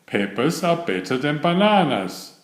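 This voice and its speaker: A man's voice: on the high side, big pitch swings, a little slow, reverberant, voice pushed up. A man voicing a cheerful, encouraging warthog friend in a children's storybook.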